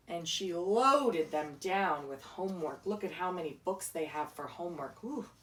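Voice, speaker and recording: A woman reading a children's picture book aloud like a teacher, explaining simply and putting questions to a young listener. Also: fairly low pitch. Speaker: read-aloud storyteller, teacher. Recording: echoey room, distant microphone.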